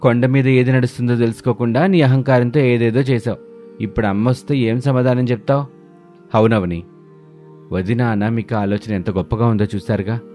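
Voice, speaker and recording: A man's voice: fairly low pitch, mellow, lightly acted. A man voicing an angry husband who lectures his wife reproachfully in a story.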